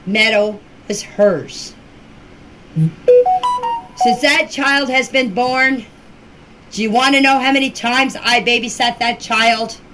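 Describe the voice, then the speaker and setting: An older woman giving an informal personal account in a deliberate, halting way, with short emphatic phrases, recorded as an amateur voice message. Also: fairly high pitch, steady tone, over music, rough recording. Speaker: relative recounting events. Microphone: voice clip recorded for group chat.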